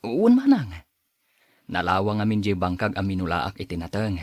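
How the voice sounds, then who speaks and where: An actor playing a modest, hardworking farmer who speaks politely and chuckles often. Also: male voice, deep voice, huge pitch swings, moderate pace, a little mellow, no room sound, clean studio sound. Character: humble hardworking young farmer. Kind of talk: audio drama acting.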